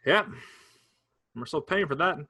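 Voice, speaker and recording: A man's voice: fairly high pitch, animated, a little slow, bright, forceful tone, husky, full character voice. A man reading the part of Dan, a weary, guarded farmer who talks in short plain replies with a Kentucky accent, in a staged play reading. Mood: dry. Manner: laconic, plain rural talk.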